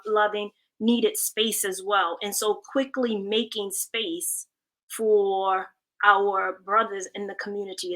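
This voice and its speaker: A woman's voice: mid-range voice, bright, forceful tone, rough recording. A woman speaking earnestly and reflectively as a community advocate in a panel discussion, stressing key words thoughtfully.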